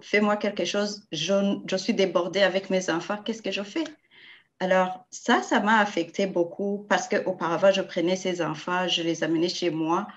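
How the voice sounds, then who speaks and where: A woman's voice: on the low side, steady tone, normal speed, muffled recording. A woman sharing a personal story in a forum, speaking earnestly and restarting her sentences. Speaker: forum participant. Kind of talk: personal testimony.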